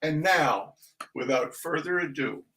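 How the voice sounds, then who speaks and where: A man's voice: on the high side, a little slow, bright, forceful tone, a little hiss or hum. A man hosting a livestream in a casual, rambling way, with theatrical, mock-grandiose flourishes. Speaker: livestream host and reader. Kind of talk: casual rambling livestream introduction with shout-outs.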